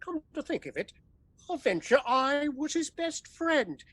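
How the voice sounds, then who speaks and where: An actor playing a flippant, callous gentleman in an audio play, droll and mocking in delivery. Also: very high-pitched, big pitch swings, measured pace, exaggerated voice. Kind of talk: theatrical audio-play acting.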